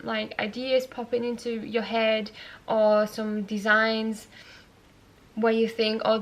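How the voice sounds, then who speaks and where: An Austrian woman with a Yorkshire-tinged English accent, answering viewer questions in a casual vlog, talking thoughtfully with fillers and soft laughs. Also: a little high. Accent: Yorkshire-tinged English picked up as an Austrian speaker. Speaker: knitting vlogger.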